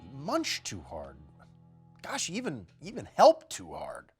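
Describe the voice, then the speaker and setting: A man voicing a patient, firm female fish schoolteacher in a children's picture book, instructive and measured. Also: medium pitch, wildly swooping pitch, soft background music. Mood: patient, advising.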